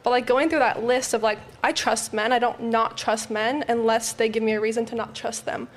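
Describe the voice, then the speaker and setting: A woman's voice: fairly high pitch, steady tone, moderate pace. A woman on a casual podcast panel, talking in a chatty, rambling way and saying "like" often. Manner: chatty, rambling, uses "like" a lot.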